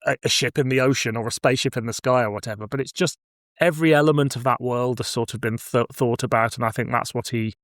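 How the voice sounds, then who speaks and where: A man's voice: medium pitch, fast, fairly bright tone, no room sound. Man hosting a podcast, dry close sound. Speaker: podcast host. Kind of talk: casual review podcast chat with some scripted introduction.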